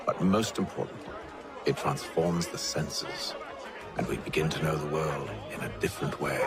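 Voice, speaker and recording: An actor playing a composed, authoritative gentleman mentor in a video game, formal and assured. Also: very deep voice, normal speed, a loud crowd. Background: loud game music.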